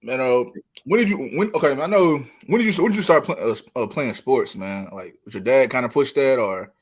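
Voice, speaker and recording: A man hosting a casual podcast interview, speaking in informal slang with halting restarts. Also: mid-range voice. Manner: informal slang, halting restarts, "you know what I'm saying". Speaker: podcast host.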